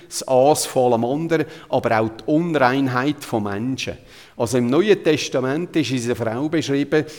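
A man's voice: medium pitch, a little mellow. Zurich Swiss man preaching in a reverberant hall. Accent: Zurich Swiss German. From Zurich, Switzerland. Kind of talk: sermon with Bible retellings, conversational.

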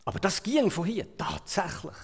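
Swiss preacher in a reverberant hall. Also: male voice, high-pitched, animated, moderate pace, breathy edge. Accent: Swiss German. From Switzerland. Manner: storytelling, swings between loud emphasis and quiet asides. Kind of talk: sermon.

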